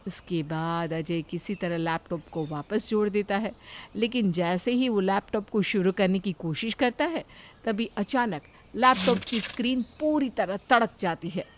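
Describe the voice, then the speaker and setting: A woman's voice: mid-range voice, measured pace, slight background noise. A woman reading scripted narration of a family moral story as storyteller.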